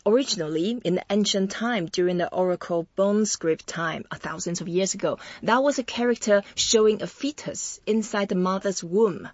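A woman from Taiwan hosting an interview, explaining words slowly and repeating them. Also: mid-range voice, dry recording, dull audio. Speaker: host teaching Chinese words. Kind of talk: interview mixed with language lesson.

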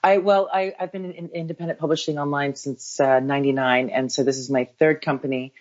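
An American woman with a low voice chats casually as a podcast guest. She laughs often and restarts her sentences as she talks. The recording sounds slightly dull. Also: steady tone, fairly quick, a little mellow. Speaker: podcast guest, newsletter founder. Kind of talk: casual interview chat.

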